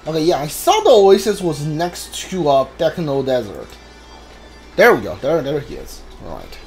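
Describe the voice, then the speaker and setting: A man giving casual video game commentary in a halting way, stumbling over his words. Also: fairly high pitch, huge pitch swings. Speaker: gamer streaming a playthrough. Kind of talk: casual gameplay commentary, reading dialogue aloud. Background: game music.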